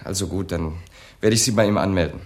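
A man's voice: sits low, fast talker. An actor playing a helpless, lovesick young man in a radio play, hesitant, faltering and pleading. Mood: reluctant. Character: anxious, helpless, lovesick young male poet. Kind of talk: 1980s German radio play acting.

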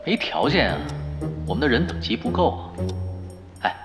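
An actor playing a laid-back, sly veteran gamer in an audio drama, talking in a casual, needling, nonchalant way. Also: male voice, a little high, wildly swooping pitch, normal speed. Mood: sly, teasing. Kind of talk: audio drama acting, conversational. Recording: music bed under dialogue.

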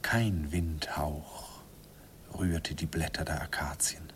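A man's voice: extremely low pitch, takes it slowly. An actor playing a wistful man narrating his youthful romance in a radio play, lyrical in manner. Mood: hushed, rapt. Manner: lyrical, animated storytelling. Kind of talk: dramatic first-person radio play narration. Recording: slightly noisy old radio recording.

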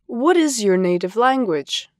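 This is a woman voicing lines for a language course. She speaks at a very slow, deliberate pace and pronounces each word with care. The recording is close and dry. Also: a little high, animated, dry recording. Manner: slow, deliberate, carefully enunciated. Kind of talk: scripted textbook dialogue reading.

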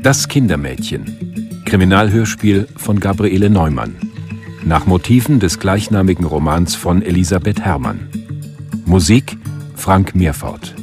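A man reading the closing credits of a radio play in a measured, formal announcer style. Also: sits low, expressive. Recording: guitar music clearly behind.

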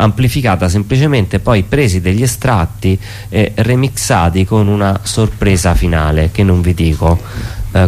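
Very low-voiced man, halting as he explains. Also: darkish tone. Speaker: performance artist, interview guest. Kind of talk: informal spoken presentation of own show. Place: radio studio.

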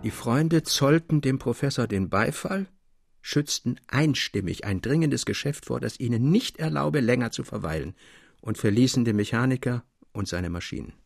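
A man narrates classic literature with a husky, creaky voice at a medium pitch. His storytelling is expressive and measured, in the manner of a radio reading, and the sound is very dry. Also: dry recording. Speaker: audiobook reader. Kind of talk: literary scripted narration for German public radio.

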